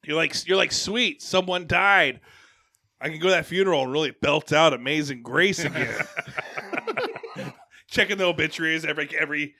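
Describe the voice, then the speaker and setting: A man's voice: fairly high pitch, moderate pace, pauses often, sharp tone. A man co-hosting a casual podcast among friends in a garage, joking and teasing, reading out listener names. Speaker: podcast co-host.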